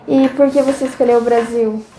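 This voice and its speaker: High, mellow teenage girl interviewing. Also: fairly even intonation, a little fast, reverberant, slight background noise, rough recording. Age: teens. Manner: short, simple questions with brief acknowledgements. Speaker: student interviewer. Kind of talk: casual interview questions.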